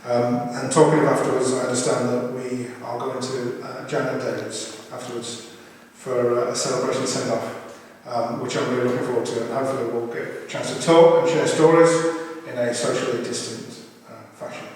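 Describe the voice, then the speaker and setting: A man giving an informal, hesitant and halting welcome speech as he leads a funeral service. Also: medium pitch, flat delivery, darkish tone. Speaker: family member leading a funeral service. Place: crematorium chapel. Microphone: distant camera at the back of the room. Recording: very echoey chapel, distant mic, faint noise.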